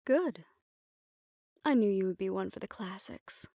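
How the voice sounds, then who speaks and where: Woman playing a slow-spoken, imperious hotel manager. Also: mid-range voice, lively intonation, warm tone, no room sound, clean studio sound. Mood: gracious, smooth. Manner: formal, measured, politely condescending. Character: poised, imperious female manager of an assassins' hotel. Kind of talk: scripted audio roleplay addressed to the listener.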